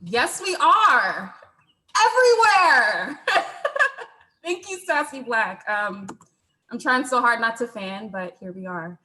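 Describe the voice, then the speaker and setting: Bright, gushing woman emcee. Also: on the high side, sing-song, slow pace, long pauses. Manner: gushing, laughs often. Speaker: event emcee. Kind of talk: hosting a virtual launch event.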